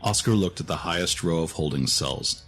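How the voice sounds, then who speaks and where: Male narrator for scripted fiction: low voice, story reading, faint music bed. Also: normal speed, a bit bright.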